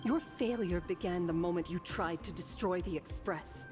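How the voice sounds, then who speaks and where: An actress playing a solemn, aloof ancient painter spirit in a video game, speaking formally and with measured composure. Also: female voice, on the low side, natural voice. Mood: solemn defiance. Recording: game music behind the voice.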